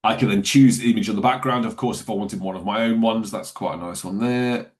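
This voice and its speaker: A man walking viewers through software in a webinar, talking casually and loosely with fillers and self-corrections. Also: lowish voice, a little fast. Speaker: software trainer. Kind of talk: webinar screen-share walkthrough.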